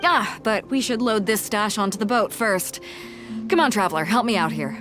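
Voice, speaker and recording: A woman's voice: medium pitch, moderate pace, over music, lightly acted. A woman playing a confident, commanding female sea captain in a video game, wry and cool. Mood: businesslike.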